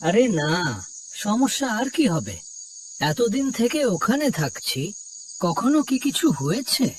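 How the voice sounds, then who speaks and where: A man's voice: extremely high pitch, sing-song, measured pace, far from the mic, a little hiss or hum, full character voice. A man playing an easygoing village watchman and husband in a cartoon folk tale, speaking in a casual, reassuring way.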